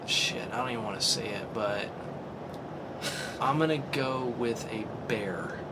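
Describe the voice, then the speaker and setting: A man's voice: medium pitch, takes it slowly, very noisy. A man hosting a casual podcast, thinking aloud and swearing casually as he reasons. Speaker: podcast host.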